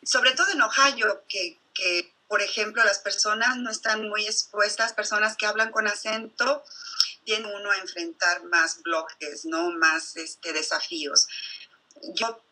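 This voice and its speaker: A woman psychologist, answering interview questions thoughtfully and filling pauses with "este" and "¿no?". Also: medium pitch, fairly even intonation, pushed and bright, low-quality recording. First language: Spanish. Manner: thoughtful, many fillers like este and ¿no?. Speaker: psychologist guest.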